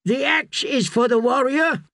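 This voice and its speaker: A man's voice: very high-pitched, measured pace, gravelly, fairly bright tone, no echo, clean studio sound, voice pushed up, full character voice. A man voicing zealous male cultist followers in a fantasy audiobook, crying out in urgent chants. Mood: clamouring, zealous. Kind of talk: dramatised fantasy audiobook reading.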